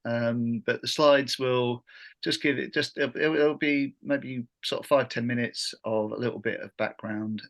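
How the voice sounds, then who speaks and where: Middle-aged man, fairly even delivery. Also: medium pitch, vocal fry. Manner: halting, hesitant phrasing. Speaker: workshop host, education trainer. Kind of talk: informal online workshop presentation. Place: video call.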